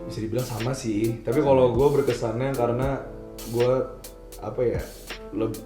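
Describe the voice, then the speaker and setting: A man's voice: medium pitch, steady tone, moderate pace. A young man with a Jakarta accent chats casually as an interviewed band member, mixing English slang into colloquial speech. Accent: Jakarta Indonesian. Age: young adult. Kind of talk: casual chat. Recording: roomy echo, music behind.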